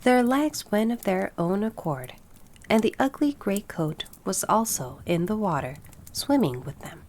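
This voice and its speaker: A woman narrating a fairy tale, reading from a script in an expressive storybook style. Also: mid-range voice, a little slow, slight background noise.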